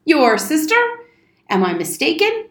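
A woman's voice: high voice, wildly swooping pitch, a little slow, voice pushed up, full character voice. A woman voicing a pompous, wordy old male soldier in a storybook reading. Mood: indignant, flustered. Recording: roomy, echoing indoor sound.

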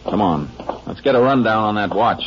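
Deep, steady Texas Ranger played by an actor, muffled old radio. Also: male voice, normal speed, close to an everyday voice. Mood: focused, matter-of-fact. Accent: American. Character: steady, methodical male Texas Ranger investigator. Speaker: lead actor and first-person narrator. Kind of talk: 1950s western radio drama acting.

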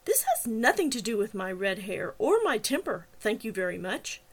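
A woman's voice: medium pitch, sing-song, normal speed. A woman voicing an angry, stubborn young woman in an audiobook, speaking in an emphatic, protesting way. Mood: indignant. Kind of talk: audiobook character dialogue.

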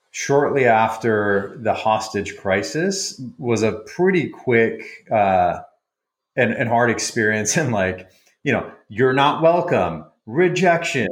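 A man's voice: mid-range voice, roomy sound. A man from Iran, a founder and guest, speaks thoughtfully with many restarts on a panel. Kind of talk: reflective panel chat.

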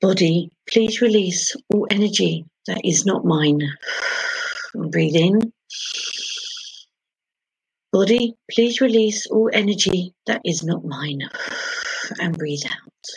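A woman leading a guided sleep meditation in a soothing, gentle, hushed manner, pausing between short phrases. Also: on the low side, vocal fry, breathy edge, no room sound, poor audio. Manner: soothing, hushed, gentle instruction with long pauses.

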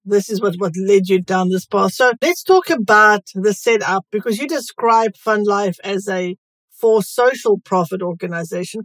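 Brief: female podcast host, mid-pitched, friendly and clear, close dry mic. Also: no room sound. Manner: friendly, clearly enunciated questions. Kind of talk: scripted introduction and interview questions.

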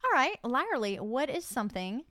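A woman's voice: sits high, sing-song, normal speed, a bit bright. Giggly Southern woman asking questions. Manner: playful and giggly. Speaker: podcast co-host. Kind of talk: casual chat, asking the questions.

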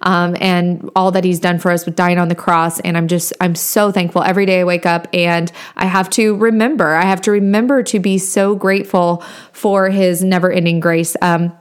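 A woman's voice: fairly low pitch, flat delivery, moderate pace, clean tone. A woman, hosting a podcast in a chatty, upbeat monologue that speaks straight to her listeners. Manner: chatty, upbeat, addresses listeners as you guys. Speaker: podcast host.

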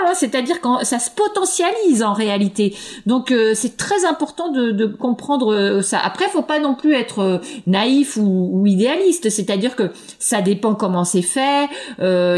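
A woman's voice: on the high side, a little mellow. Hesitant French-speaking woman researcher. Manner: hesitant, many fillers and restarts. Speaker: education researcher. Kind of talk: interview answers.

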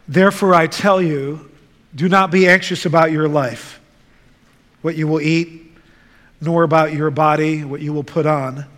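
Guy from the netherlands preaching real slow, kinda high voice, big echoey church. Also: fairly bright tone. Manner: slow, deliberate, measured delivery. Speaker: guest preacher. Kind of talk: sermon with scripture reading. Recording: reverberant church hall.

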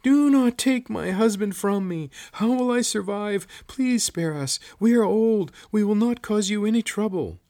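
A man's voice: fairly low pitch, lively intonation, a little slow, hardly any pauses, husky, no echo. A man voicing an elderly woman healer, a pleading and resolute wife in a fantasy story. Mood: shrill pleading. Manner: pleading, insistent.

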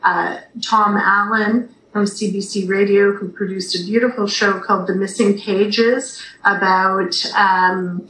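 A Canadian woman speaks as a theatre director being interviewed, in an upbeat, conversational way. Her mid-pitched voice comes over a video call with a noticeably roomy sound and faint background noise. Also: steady tone, a little slow, hardly any pauses, a bit bright. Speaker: theatre company executive director, radio guest.

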